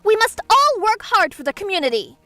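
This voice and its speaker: Casting: scheming cartoon schoolteacher, extremely high voice, swooping and gushing, music underneath. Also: female voice, big pitch swings, brisk, pushed and bright, dry recording, exaggerated voice. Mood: smug, scheming. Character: scheming, self-righteous woman teacher, greedy and excitable. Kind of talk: manga dub voice acting.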